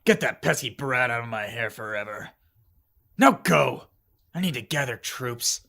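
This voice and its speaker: Man playing a gravelly, hot-tempered army general. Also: medium pitch, animated, a little slow, sharp tone, heavily acted. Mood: cold, scornful. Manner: gruff, commanding. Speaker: voice actor. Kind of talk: animated fantasy drama acting.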